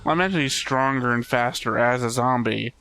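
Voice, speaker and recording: A man hosts a reaction show in a medium-pitched voice. His commentary is casual and conversational. Music plays faintly behind him. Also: fairly quick, sharp tone.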